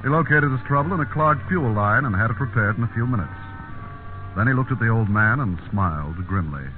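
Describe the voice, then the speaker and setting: A man's voice: medium pitch, lively intonation, brisk, lightly acted. A man narrating a scripted radio drama in dramatic 1950s style. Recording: narrow, tinny 1950s radio broadcast. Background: dramatic music.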